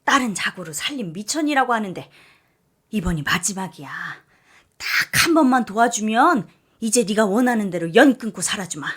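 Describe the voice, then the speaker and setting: A woman voicing a greedy, nagging middle-aged mother who talks in a loud, prying, pushy way. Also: medium pitch, animated, moderate pace, breathy edge. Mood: demanding.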